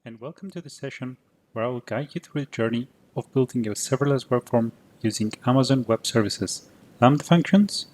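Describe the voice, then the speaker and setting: Greek Cypriot man, 30s, tech presenter; clear, methodical delivery at a middle pitch. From Cyprus. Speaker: conference presenter. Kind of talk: prepared conference talk.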